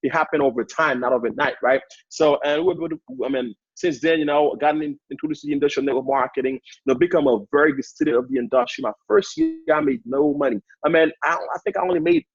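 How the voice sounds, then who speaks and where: A man in his twenties with a Haitian accent, giving an energetic motivational talk as a guest speaker on a sales training call. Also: fairly high pitch, fast, gravelly, few pauses, husky, no echo, dull audio. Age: 20s. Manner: hyped up, energetic, repetitive.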